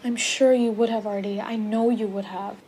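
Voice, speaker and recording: Teen girl as mellow, gentle loyal wife. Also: medium pitch, steady tone, moderate pace, a little hiss or hum, natural voice. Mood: tender, reassuring. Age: teens, high school student. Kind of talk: radio drama acting.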